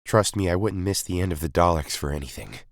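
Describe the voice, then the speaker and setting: A man voicing a fierce, stubborn former soldier woman in an audio story, snappy and forceful. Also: deep voice, fast talker, no room sound, studio quality. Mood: defiant.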